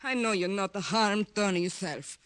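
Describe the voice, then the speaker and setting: An actress playing an anxious, protective immigrant mother with an Italian accent and halting broken English in an old radio drama. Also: mid-range voice, expressive, a bit bright, clean tone, close to an everyday voice. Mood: torn, reluctant.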